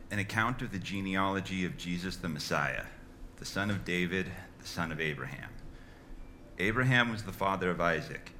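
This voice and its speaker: Super deep guy reading scripture slow and soft, bright crisp tone. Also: animated, a little hiss or hum. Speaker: scripture reader.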